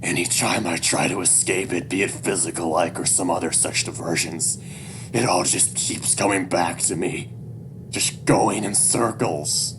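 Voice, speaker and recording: Guy doing a broken old-dad type furry animal, low n heavy, halting, bleak, ragged breath, music under. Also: steady tone, background noise. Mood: weary despair. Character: broken, resigned father, a scruffy furry animal.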